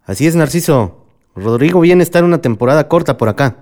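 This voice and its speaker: An actor playing a middle-aged Mexican father in a radio soap, measured, patient and polite. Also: fairly high pitch, lively intonation, a little mellow, lightly acted. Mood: polite, reserved. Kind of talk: radio soap opera dialogue.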